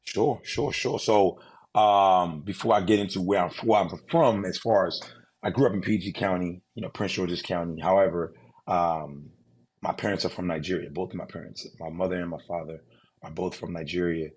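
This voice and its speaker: Husky low-voiced man telling his story. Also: normal speed. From United States. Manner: relaxed storytelling with many fillers and restarts. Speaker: guest, insurance agency owner. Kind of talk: casual interview.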